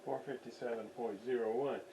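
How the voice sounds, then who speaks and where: A man giving an unscripted hands-on demonstration at a workbench, halting as he thinks aloud while he works. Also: medium pitch, fairly even intonation. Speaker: radio restoration hobbyist, demonstrator. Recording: distant mic with faint background noise.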